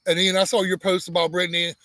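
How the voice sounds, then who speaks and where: A man with a flat, monotone delivery chats casually as a live-stream co-host, teasing and circling back on himself with plenty of restarts. His voice sits a touch high for a man and sounds close and dry. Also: fast talker, no echo. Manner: teasing, rambling, many restarts. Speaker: homestead channel co-host.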